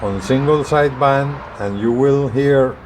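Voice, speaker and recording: A man giving a casual how-to explanation to camera, speaking in halting, deliberate phrases with pauses mid-sentence. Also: mid-range voice, noisy background. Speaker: vlogger, hobbyist radio user and truck driver.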